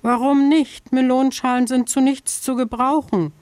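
A small, frightened hare pleads in a high, timid little voice, as a woman reader puts it on. The sound is dry and close, like an old children's radio story. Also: fairly even intonation, normal speed, darkish tone, no echo, close to an everyday voice. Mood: pleading.

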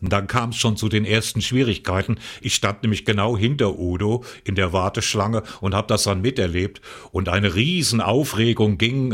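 Older radio co-host from the Palatinate, low voice, loose reminiscing storyteller. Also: male voice. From Palatinate, West Germany. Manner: reminiscing, relaxed storytelling with fillers. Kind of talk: casual radio talk.

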